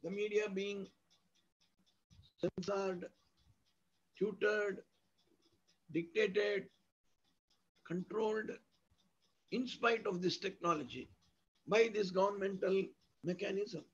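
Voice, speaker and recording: A man with an Indian accent and a husky voice gives an academic lecture, explaining with examples in an emphatic, didactic way and piling up synonyms and lists. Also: high-pitched, fairly even intonation, takes it slowly, long pauses. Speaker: university lecturer. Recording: dull lo-fi audio.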